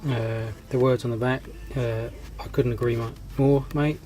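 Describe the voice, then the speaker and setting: A man's voice: mid-range voice, flat delivery, normal speed, warm tone. A man with a cold and a fading voice, hosting a hobby channel, giving a casual, hesitant update full of ums and restarts. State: congested with a cold, losing his voice. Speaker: scale model hobby channel host. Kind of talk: casual rambling vlog update.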